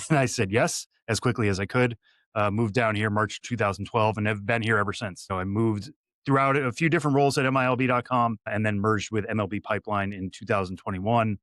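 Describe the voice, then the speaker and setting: Man, 30s, from western massachusetts, podcast guest, fluent storyteller, low pitch, dry sound. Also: fairly even intonation, fairly quick, a bit bright, no room sound. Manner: fluent, detailed storytelling with light fillers. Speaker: guest prospect analyst. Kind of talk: conversational interview answers.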